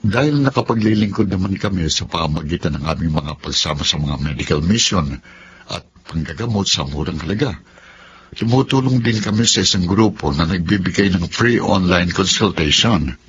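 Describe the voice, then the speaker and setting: Kind steady doctor, really low voice, earnest and polite, muffled noisy radio drama. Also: male voice. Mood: firm, apologetic. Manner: measured, earnest, polite but firm. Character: principled, gentle male doctor, steady husband. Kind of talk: Filipino radio drama acting. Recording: dull lo-fi audio with noise.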